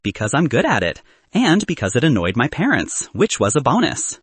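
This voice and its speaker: Audiobook role: confident young woman entrepreneur read by a man. Dry banter, animated, husky edge. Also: medium pitch, moderate pace, dry recording. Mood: frank, confident. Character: confident, teasing young woman, a sharp student founder.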